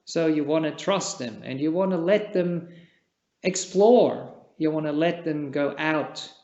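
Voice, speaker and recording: A man from Switzerland, a native Czech speaker, giving a gentle, halting dharma talk as a Buddhist meditation teacher, with long pauses. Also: fairly high pitch. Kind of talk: unscripted dharma talk at a retreat. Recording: echoey room, dull lo-fi sound.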